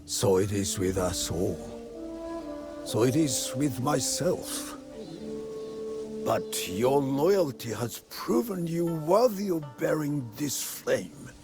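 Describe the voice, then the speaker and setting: A man playing a wise, stern old samurai sword master in a video game, speaking in a measured, sage way. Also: medium pitch, expressive. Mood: solemn. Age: older. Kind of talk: video game cutscene acting. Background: music.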